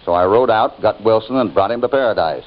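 Guy playing calm cowboy hero, low voice, wry and sure of himself, dull old radio recording. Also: quick pace, close to an everyday voice. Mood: relaxed, explaining. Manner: unruffled, wry, authoritative. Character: calm, assured middle-aged cowboy hero. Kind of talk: old-time radio western acting.

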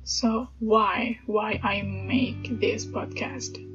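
A woman's voice: medium pitch, steady tone, drawn-out words, music in the background. A woman from Indonesia with an Indonesian accent, hosting a casual solo podcast and thinking aloud with hesitations and light laughs. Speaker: podcast host. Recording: dull lo-fi sound with background noise.

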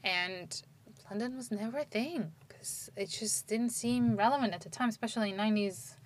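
A woman's voice: medium pitch, moderate pace, slight background noise. A woman in her forties, from Turkey, telling her story in a casual conversation, rambling and restarting her sentences. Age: 40s.

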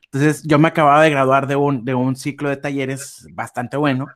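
Podcast host, many fillers. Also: male voice, mid-range voice, level tone, moderate pace, fairly bright tone. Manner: friendly, hesitant, many fillers. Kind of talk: casual podcast hosting.

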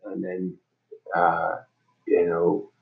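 Bahamian man, 40s, nonprofit director; halting delivery, deep voice with a dull lo-fi sound. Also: monotone, vocal fry. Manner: halting, restarts and repeats words. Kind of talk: casual personal introduction.

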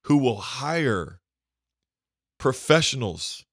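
A man hosting a conversation with a deep bass voice, effusive and emotional as he talks. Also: big pitch swings, drawn-out words, slightly rough. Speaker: podcast host. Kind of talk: casual conversation.

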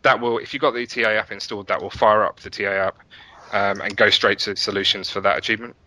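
A man's voice: on the low side, fairly even intonation, quick pace, pushed and bright, rough recording. A man speaking as an interview guest, chatting casually and telling stories with enthusiasm. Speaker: podcast guest, app and website developer.

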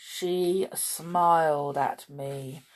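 Storybook castle guard, woman performing: male, gloomy, stern, very slow and ponderous. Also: sits low, steady tone, exaggerated voice. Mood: solemn. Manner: slow, solemn, ponderous delivery.

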